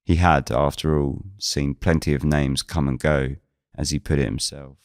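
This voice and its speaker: Really deep male voice narrating a mystery audio drama, measured and formal, dry close sound. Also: level tone, no room sound. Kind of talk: scripted first-person mystery narration.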